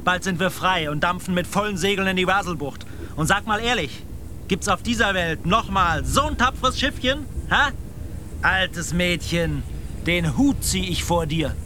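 Hearty expedition seaman, high projecting voice, declamatory, rumbling outdoor noise behind, radio drama. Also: lively intonation, moderate pace, bright, forceful tone. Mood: elated. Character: exuberant hearty male seaman aboard an expedition ship. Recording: noisy outdoor scene with rumble.

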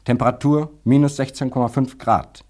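An actor playing a rescue expedition officer in a radio play, formally reading out a log in a clipped, official manner. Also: male voice, mid-range voice, fairly even intonation, moderate pace, a little mellow, lightly acted. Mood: businesslike. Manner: clipped, matter-of-fact, official.

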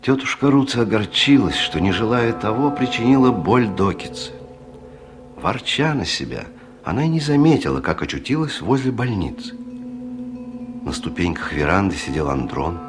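Measured narrator, very low pitch, grave, prominent music, vintage radio play. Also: male voice, big pitch swings. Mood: grave, dramatic. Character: grave, measured storyteller of a village tale. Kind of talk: 1970s radio drama narration.

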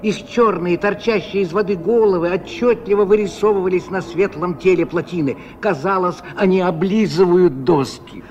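A man giving measured, expressive literary narration in the style of 1970s Soviet radio drama. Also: sits high. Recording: dull, faintly noisy old radio recording.

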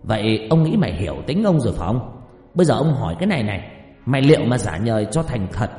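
A man voicing a wealthy, stern, quick-tempered landlord who interrogates in a commanding, condescending way in an audio story. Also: mid-range voice, sing-song, warm tone, natural voice. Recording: echoey with long reverb, music behind.

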